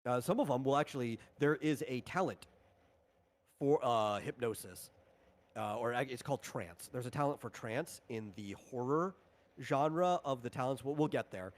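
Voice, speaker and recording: A man with a mid-pitched voice hosts a casual tabletop game session. He explains the rules conversationally, halting and rewording his points as he goes. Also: expressive.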